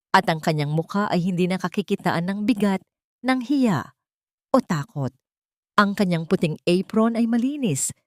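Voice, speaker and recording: Low-voiced Filipino woman narrating drama. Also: darkish tone, no room sound, studio quality. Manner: measured, descriptive. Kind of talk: scripted audio drama narration.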